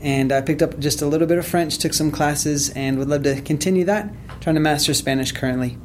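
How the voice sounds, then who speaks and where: Polite man with a higher voice. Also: steady tone, fairly quick, slight background noise. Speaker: library associate. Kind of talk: panel discussion.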